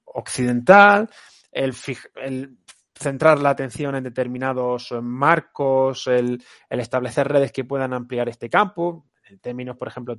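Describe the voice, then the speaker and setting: A man's voice: medium pitch, dry recording, clean studio sound. A man from Spain hosting an academic podcast, talking in a rambling way with many fillers. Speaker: podcast host.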